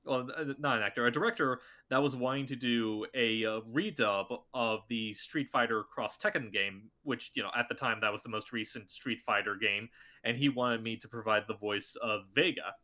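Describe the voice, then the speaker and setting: A man's voice: medium pitch, normal speed, bright, forceful tone. A man who is a voice actor, chatting as a podcast guest and telling stories in a loose, rambling way with many fillers. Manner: rambling, filler-heavy storytelling. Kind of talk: casual podcast chat.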